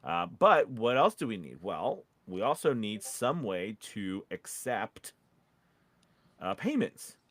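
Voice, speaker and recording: A man with a fairly low voice talks through business advice casually, as a podcast coach would. He is animated but halting, restarting and checking in with short questions. The sound is very dry, with no room echo. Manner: animated, halting, often checks in with "right?" and "okay?". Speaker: podcast host and voice-over coach.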